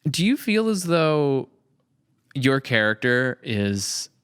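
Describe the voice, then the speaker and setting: A man's voice: medium pitch, very animated, slow pace, a bit bright, smooth, no echo, studio quality. A man hosting a casual podcast interview, wordy and thinking aloud as he phrases things. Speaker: podcast host, series creator.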